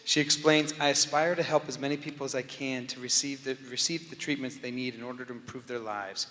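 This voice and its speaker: A man presents a scholarship recipient in a large church, reading deliberately. His voice sits mid-pitch with a bright edge. The hall adds a long reverberation behind him. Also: fairly even intonation. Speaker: awards presenter. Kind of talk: reading award citations.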